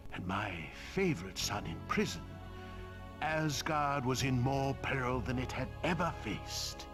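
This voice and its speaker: A man playing a vain, scornful trickster prince who narrates theatrically, pompous and sardonic, with dramatic pauses. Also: mid-range voice. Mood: scornful, self-pitying. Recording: music behind the voice.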